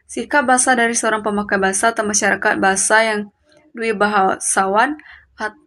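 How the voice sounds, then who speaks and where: A woman's voice: mid-range voice, fairly bright tone. A woman presents a student assignment in a mid-range voice. She reads from a prepared text, reciting point after point. Her delivery is that of someone reading aloud, with occasional stumbles over words. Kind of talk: scripted lesson narration, read aloud.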